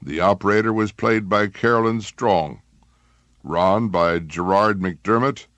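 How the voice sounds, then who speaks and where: A man giving scripted narration as a radio announcer, measured and formal. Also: fairly low pitch, a bit bright, no room sound, muffled recording. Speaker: announcer reading closing credits.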